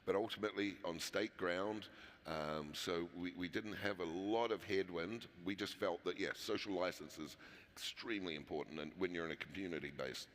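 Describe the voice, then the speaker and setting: A man's voice: low-pitched, moderate pace, fairly bright tone. A man with a New Zealand accent, a company founder talking casually in an interview, with frequent fillers and restarts. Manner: conversational, many fillers and restarts. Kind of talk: conference interview. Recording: long hall reverb.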